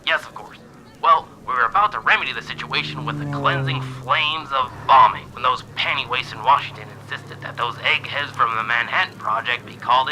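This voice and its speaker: A man's voice: medium pitch, sharp tone, a little hiss or hum. A man voicing a fanatical, fire-obsessed army general in a radio play, ranting zealously down an old telephone line. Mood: indignant.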